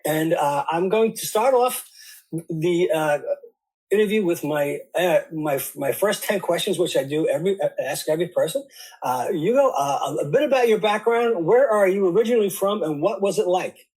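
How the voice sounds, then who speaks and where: Husky man, high voice. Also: expressive, moderate pace, darkish tone. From United States. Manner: hesitant, many fillers and restarts, jokey. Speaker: podcast host. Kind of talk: podcast interview.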